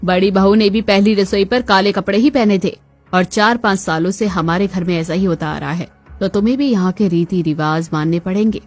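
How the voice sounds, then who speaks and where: A woman's voice: medium pitch, fairly quick, darkish tone, soft background music, voice pushed down, close to an everyday voice. A woman voicing an older, bossy mother-in-law in a family cartoon, with a firm, matronly manner. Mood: stern.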